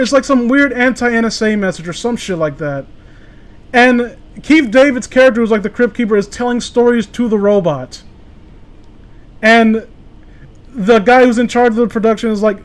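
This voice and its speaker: High-voiced sweary joking man. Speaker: podcast co-host reading internet horror stories. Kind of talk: casual comedic chat with mocking read-alouds.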